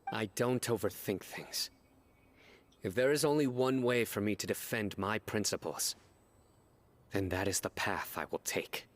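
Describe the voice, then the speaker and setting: An actor playing a stern young male guardian who speaks in a terse, calm and resolute way in a game cutscene. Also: fairly low pitch, unhurried, slight background noise, natural voice. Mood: firm.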